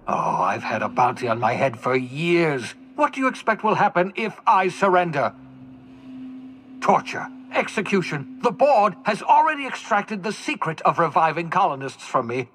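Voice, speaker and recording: An actor playing a despairing, defiant older fugitive scientist in a video game, theatrical and swinging from bitter to pleading. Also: male voice, fairly high pitch, very animated, moderate pace, fairly bright tone, slight background noise. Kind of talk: dramatic video game acting.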